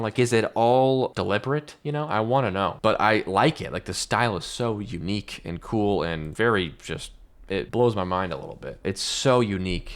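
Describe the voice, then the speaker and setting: A man giving casual, informal reaction commentary as a video host, often sounding puzzled and repeating his questions. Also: lowish voice.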